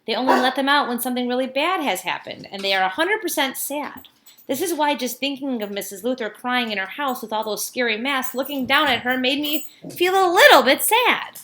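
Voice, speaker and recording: A woman reading a children's story aloud like a teacher, with expressive emphasis on key words and casual asides. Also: high-pitched, a little fast, slight background noise.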